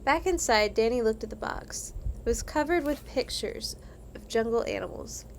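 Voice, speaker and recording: A woman reading a children's story aloud in an animated, expressive way, as in a read-along for kids. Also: on the high side, moderate pace, gravelly, a little hiss or hum. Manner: animated, acts out dialogue.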